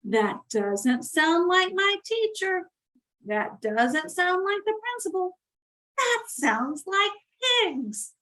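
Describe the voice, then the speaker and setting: A spirited young schoolgirl from a picture book , acted out by a woman. She is high-voiced and bossy, exclaiming and drawing her words out for emphasis. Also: very animated, slow, a bit bright, exaggerated voice. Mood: suspicious.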